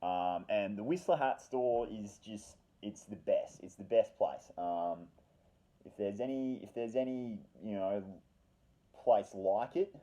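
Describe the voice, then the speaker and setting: A man's voice: lowish voice, slow pace, dark tone. A man telling a casual, rambling personal anecdote with slangy swearing and dramatic repetition. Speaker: storyteller.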